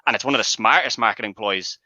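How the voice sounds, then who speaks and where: A man's voice: lowish voice, fast talker, sharp tone, no echo, lo-fi sound. A man debating films casually on a show, making emphatic, argumentative points with some swearing. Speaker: panelist and host of a movie debate show.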